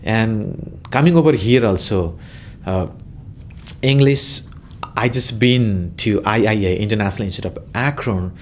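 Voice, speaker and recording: A man's voice: lowish voice, a little slow, slight background noise. A man in his thirties with a Nepali accent, answering interview questions in a hesitant way, with many fillers and restarts.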